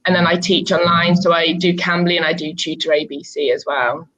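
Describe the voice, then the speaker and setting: Low-voiced woman teacher on a muffled call. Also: little pitch movement, fairly quick, a bit bright. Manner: chatty, easygoing, many ums. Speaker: online English teacher. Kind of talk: casual conversation lesson. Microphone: video call. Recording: muffled video-call audio.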